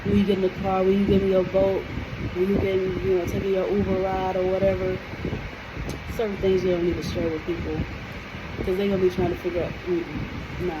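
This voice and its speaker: A woman's voice: medium pitch, monotone, brisk. An American woman in a casual to-camera vlog, talking in a chatty, emphatic way and checking in with the viewer often. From United States. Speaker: vlogger. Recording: noisy room with air conditioning hum.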